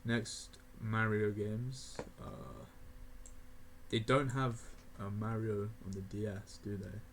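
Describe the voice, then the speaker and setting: A young man of eighteen talks loosely through his rankings, his voice sitting a little low, with frequent chuckles and filler words. A faint hum of the room sits behind him, with the odd mouse click. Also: little pitch movement, takes it slowly, gravelly. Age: young adult (18). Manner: rambling, laughing often, many fillers. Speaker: gaming video creator. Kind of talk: casual tier list commentary.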